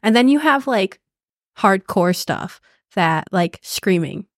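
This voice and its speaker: A young woman co-hosts a podcast in an unhurried, rambling way, leaning on "like" as she thinks aloud. She speaks at a slow pace with a middle pitch. The sound is dry and close to the mic. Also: no echo. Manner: relaxed, rambling with "like". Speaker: podcast co-host. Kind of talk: casual chat.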